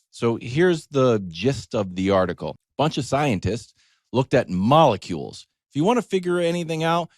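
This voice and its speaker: A man's voice: fairly high pitch, no room sound, studio quality. A middle-aged American man telling a story as a show host in a casual, wry comic monologue, acting out little quoted asides.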